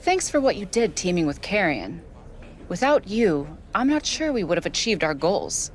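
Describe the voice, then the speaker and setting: Casting: scheming female wrestling manager in a game, low voice, measured and exacting, faint background noise. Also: very animated. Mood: cool, calculating. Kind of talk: video game cutscene acting.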